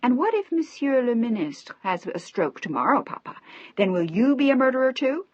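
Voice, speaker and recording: A woman voicing a furious young daughter who shouts and sobs her accusations, in a dry, slightly dull recording. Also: on the low side, normal speed, no echo.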